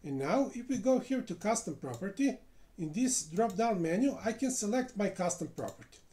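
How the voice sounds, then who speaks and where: Lively-pitched man narrating a software walkthrough. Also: moderate pace. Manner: step-by-step narration with frequent "uh" fillers. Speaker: software tutorial presenter. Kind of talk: screen-recorded software tutorial.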